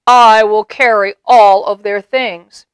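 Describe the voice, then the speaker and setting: Woman reading as a drowsy, loyal donkey in a storybook, slow and loud. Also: medium pitch, steady tone, smooth, dry recording, natural voice, poor audio. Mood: determined. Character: sleepy willing male donkey.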